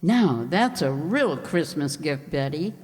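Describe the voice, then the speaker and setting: A woman playing a kindly, practical aunt in an old-time radio commercial, gently instructive and reassuring. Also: low-pitched, lively intonation, takes it slowly, long reverb tail. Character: kindly, practical aunt giving household advice. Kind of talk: 1940s radio commercial acting.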